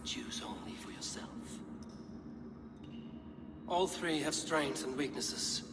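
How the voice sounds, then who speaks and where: An actor playing a stern, steady Viking warrior in a game cutscene, speaking in a blunt, measured, weighty way. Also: male voice, on the high side. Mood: brooding, resolute. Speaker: video game lead character. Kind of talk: dramatic game cutscene acting.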